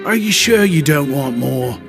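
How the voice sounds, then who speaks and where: Fawning young shop clerk, lively and slightly high, soft game music behind. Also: male voice, a little slow, creaky. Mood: flattering. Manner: ingratiating, effusive. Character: flattering young male shopkeeper, smooth talker.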